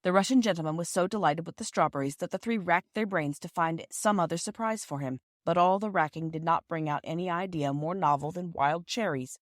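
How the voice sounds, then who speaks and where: A woman narrates a classic children's storybook in a fairly low voice. The recording is dry and close, with very little room sound. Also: fairly quick, hardly any pauses, no room sound. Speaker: audiobook reader and podcast host.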